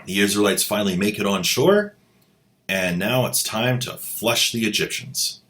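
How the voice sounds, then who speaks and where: Low-ish guy host doing snarky commentary, dry jokes. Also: normal speed, fairly bright tone. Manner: wry, mocking asides. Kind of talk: sarcastic commentary.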